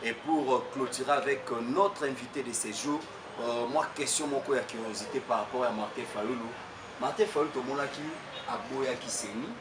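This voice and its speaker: A man's voice: medium pitch, steady tone, moderate pace. A man with a Congolese French accent, an interviewer prompting his guest and mixing in Lingala. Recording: roomy, distant mic, noisy background.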